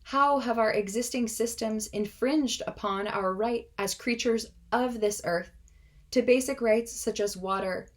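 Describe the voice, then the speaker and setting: A woman in her twenties, a native Russian speaker, delivering a prepared speech in an earnest, measured, impassioned way. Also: on the high side. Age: 20s.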